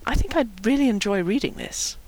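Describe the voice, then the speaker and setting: A woman voicing a scornful, teasing young woman in a story, quiet and sarcastic. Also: a little high, expressive, fairly quick, poor audio. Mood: teasing, amused.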